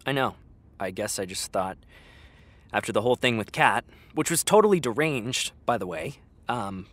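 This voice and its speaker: A man's voice: lowish voice, animated, moderate pace, a bit bright, dry recording. An actor playing a frank, exasperated young man talking to his best friend in a naturalistic, conversational audio drama style. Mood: teasing, hesitant.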